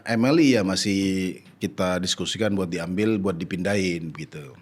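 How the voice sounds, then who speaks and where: A man's voice: low-pitched, normal speed, breathy edge. Man with a Madurese accent, chatting. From Madura, Indonesia. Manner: explains words eagerly, laughs often. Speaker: guest comedian. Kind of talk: casual chat.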